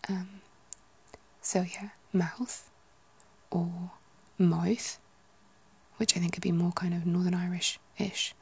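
A woman's voice: on the low side, level tone, measured pace, darkish tone, slightly rough. A woman in her thirties with a British English accent, whispering casual ASMR chat and musing to herself. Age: 30s. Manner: whispering, musing, self-correcting.

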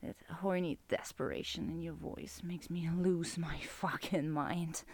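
A woman playing a seductive, teasing, dominant lover in an intimate audio roleplay, breathy and commanding, often dropping into a whisper. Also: low voice, fairly even intonation, unhurried, darkish tone. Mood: urgent, commanding. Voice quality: breathy, husky.

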